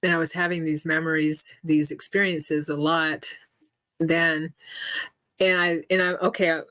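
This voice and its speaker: A middle-aged woman with a low voice talks in a halting, searching way. She stops, restarts and fills pauses with ums. The sound is dry and close. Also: little pitch movement, fairly bright tone, no room sound. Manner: halting, many restarts and fillers, laughs often. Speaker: interview guest. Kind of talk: casual spoken interview.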